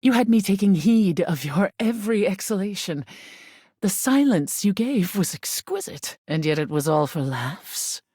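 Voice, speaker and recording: An actress voicing a video game goddess of air, haughty and stern, with solemn formal phrasing and a breathy voice that often sinks into whisper. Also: female voice, mid-range voice, lively intonation, measured pace, dark tone, no echo, clean studio sound. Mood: wounded indignation. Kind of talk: scripted video game character acting.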